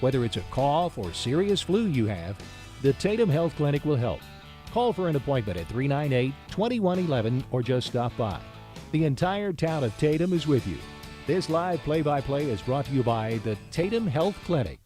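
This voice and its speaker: A man's voice: a little high, very animated, normal speed, warm tone. A man reading a scripted local sponsor spot as a commercial announcer. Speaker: radio ad announcer. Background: faint guitar music.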